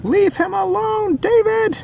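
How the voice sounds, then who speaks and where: A high, shrill storybook mom acted by a man in falsetto scolds her naughty toddler in drawn-out exclamations. Outdoor noise is clear behind the voice. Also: darkish tone, dull audio, heavily acted. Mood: scolding.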